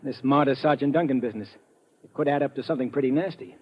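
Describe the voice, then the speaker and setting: A man's voice: medium pitch, quick pace, close to an everyday voice. A man acting a businesslike confidant of an investigator in a golden-age radio drama. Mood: serious, businesslike. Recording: muffled, noisy old radio recording.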